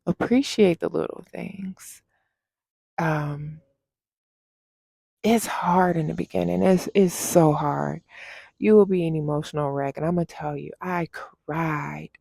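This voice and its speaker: A woman speaks slowly and sincerely, as if sharing personal testimony on a vlog. Her lower-pitched voice often settles into gravelly fry. She offers heartfelt, faith-filled encouragement in a close, dry sound. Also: steady tone, mellow, dry recording. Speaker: personal vlogger. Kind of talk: personal testimony, talking to camera.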